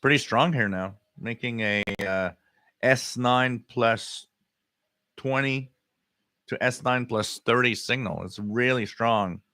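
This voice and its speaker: A man hosting a casual live hobby stream, answering chat comments in a halting way and often repeating his words. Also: medium pitch, bright, forceful tone, dry recording, studio quality. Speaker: livestream host.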